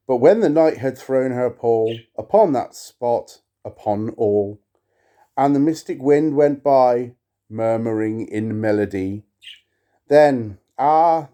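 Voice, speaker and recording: A man's voice: mid-range voice, warm tone. A man giving a theatrical poetry reading, with wry, playful and self-mocking chatty delivery as he hosts his own video. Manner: slow, dramatic delivery of verse. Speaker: video creator and host reading poetry aloud.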